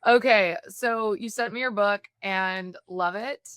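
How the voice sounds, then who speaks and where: A woman from the United States hosting an interview, talking in a candid, reflective way with quick bursts. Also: medium pitch, a bit bright, smooth, no room sound, studio quality. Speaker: podcast host. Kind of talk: conversational interview.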